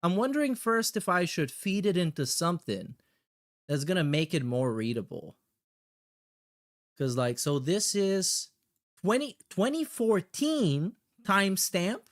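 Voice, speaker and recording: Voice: medium pitch, animated, measured pace. A livestream host chatting casually and reacting in a blunt, heavily profane way.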